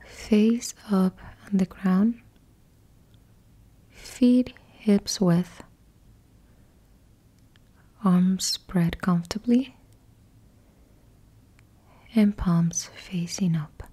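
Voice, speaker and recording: Slow whispering woman guiding meditation. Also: medium pitch, mellow, husky. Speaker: yoga nidra guide. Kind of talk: guided meditation.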